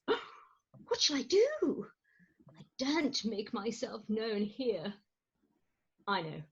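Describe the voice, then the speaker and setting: A high, husky-voiced indignant wife and mother from Victorian farce, acted by a woman. She declaims slowly and melodramatically. The audio is muffled and lo-fi. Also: big pitch swings, exaggerated voice. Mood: outraged, scheming. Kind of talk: staged reading of Victorian farce. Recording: muffled remote call audio.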